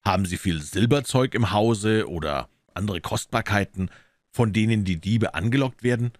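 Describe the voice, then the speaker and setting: A man voicing a coolly assured, incisive gentleman detective in an audiobook, emphatic and courteous. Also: on the low side, moderate pace, no echo, studio quality, lightly acted. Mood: cool, probing.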